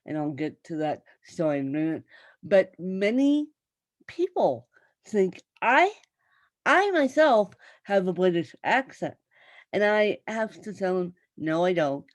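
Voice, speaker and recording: A woman's voice: lowish voice, big pitch swings, a little slow, dry recording. A woman hosting an interview show, chatting with her guest and talking straight to viewers. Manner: chatty, addresses viewers as "you guys". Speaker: talk show host. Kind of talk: conversational interview.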